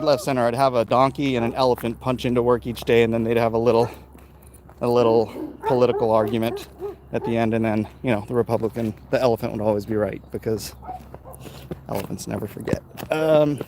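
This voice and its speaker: Guy in his 40s rambling on a walking livestream, lively up and down voice, phone mic outside, bit of street noise. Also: mid-range voice. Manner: rambling, halting, breaking off to talk to people with him. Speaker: livestream host, artist. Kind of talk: casual livestream chat while walking outdoors, reading viewer comments aloud. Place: outdoors, walking along a street. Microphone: handheld phone.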